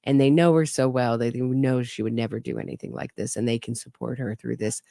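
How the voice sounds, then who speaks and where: A woman's voice: very deep voice, fairly even intonation, brisk, vocal fry, warm tone, no echo, clean studio sound. A woman giving skeptical, wry commentary on a true crime case and speaking straight to her audience. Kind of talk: casual commentary over interrogation clips.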